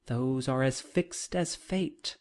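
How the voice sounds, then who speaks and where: A narrator reading a classic novel aloud in a measured, formal way, as scripted audiobook narration. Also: medium pitch, a little mellow.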